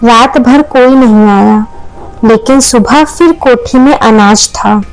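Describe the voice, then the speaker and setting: A woman's voice: on the high side, takes it slowly, soft background music, low-quality recording. A woman narrating a scripted moral story as a storyteller.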